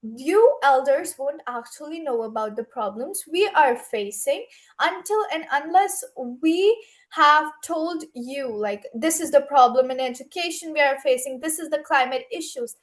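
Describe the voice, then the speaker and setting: A young woman with a very high voice speaks as a youth panelist. Her remarks are impassioned and earnest, delivered with emphasis. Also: expressive, unhurried. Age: young adult. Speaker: youth speaker at an online summit.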